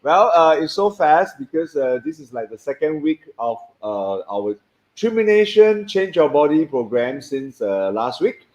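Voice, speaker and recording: A man's voice: a little high, big pitch swings, a little slow, a little mellow, highs cut off. A man, hosting a casual stream and rambling with lots of fillers and restarts. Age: 50s. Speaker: host. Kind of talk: casual live-stream chat.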